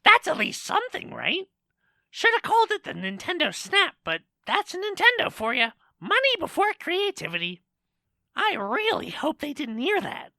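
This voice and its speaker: A woman's voice: very high voice, normal speed, no echo, full character voice. A woman voicing a cheeky, opinionated male cartoon monkey in a fan audio drama, chatty and sassy. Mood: earnest, pleading. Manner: animated, sassy. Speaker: co-host of a fan question show. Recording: dry voice over background music.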